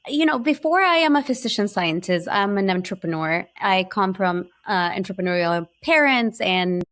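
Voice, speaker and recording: A woman's voice: medium pitch, expressive, moderate pace, a bit bright. A woman with an Ecuadorian Spanish accent, a physician scientist telling her story in an interview, earnest and full of small restarts. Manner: earnest, many fillers and restarts. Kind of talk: personal storytelling in conversation.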